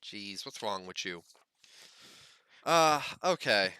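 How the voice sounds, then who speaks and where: A man's voice: lowish voice, lively intonation, takes it slowly, pushed and bright, clean tone, dry recording, studio quality. A man co-hosting a gaming podcast, giving news follow-ups in a wry, self-deprecating way. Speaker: podcast co-host. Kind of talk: casual gaming news chat.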